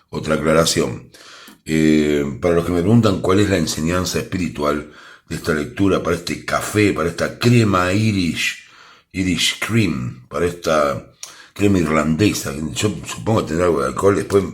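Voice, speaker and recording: Very deep-voiced Argentine man reading tarot. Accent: Argentine Spanish. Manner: chatty, reassuring, gives direct advice. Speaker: tarot reader. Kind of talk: casual interactive tarot reading to camera.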